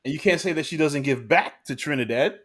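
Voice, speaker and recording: A man's voice: a little high, fairly quick, fairly bright tone. A man co-hosting a podcast, talking earnestly in a casual discussion, often restarting and adding "you know". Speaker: podcast co-host.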